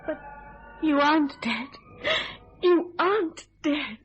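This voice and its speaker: An actress playing a frightened, hysterical wife in a radio thriller, fraught and pleading. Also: female voice, sits high, expressive, takes it slowly, dull audio, soft background music. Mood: stunned, pleading.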